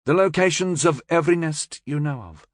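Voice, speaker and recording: A man's voice: a little high, a little fast, no room sound, studio quality, voice pushed up, close to an everyday voice. A man voicing a cool, blunt female security director who talks in a clipped, firm, matter-of-fact way.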